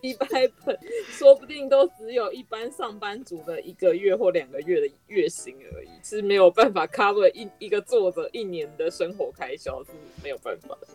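A woman with a Taiwanese Mandarin accent hosting casual podcast chat in a measured, even-handed way. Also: medium pitch, slightly rough, faint music behind.

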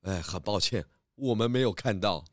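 Man as a low-voiced, calm pig detective. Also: moderate pace, no room sound, studio quality, natural voice. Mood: apologetic, thoughtful. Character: calm, polite pig detective, adult male.

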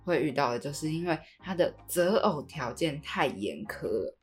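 Young woman, Taiwanese Mandarin accent, low register, chatty podcast co-host, light music behind. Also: big pitch swings, normal speed. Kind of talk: casual podcast chat.

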